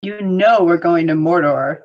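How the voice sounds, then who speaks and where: A woman's voice: lowish voice, measured pace, highs cut off, close to an everyday voice. A woman playing a stern, commanding male hobbit in a table read of a fantasy script. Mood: firm. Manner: firm, commanding.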